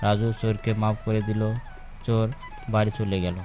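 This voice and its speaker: A man's voice: on the low side, flat delivery, normal speed, over music. A man narrating a scripted children's story as a storyteller.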